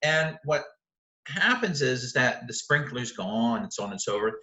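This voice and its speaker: A man's voice: medium pitch, lively intonation, normal speed, sharp tone, dull audio. A man giving an informal explanatory literature lecture, stressing key points by repeating them and checking in with short questions. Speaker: literature teacher presenting a video lesson. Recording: roomy, echoing room sound.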